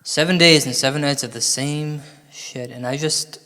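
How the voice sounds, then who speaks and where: A man's voice: a little high, fairly even intonation, normal speed, sharp tone. A man performing a comic recital and monologue in a dramatic, exasperated manner. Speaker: comic storyteller. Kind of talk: theatrical poem recital turning into exasperated monologue. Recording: long reverb, like a large hall.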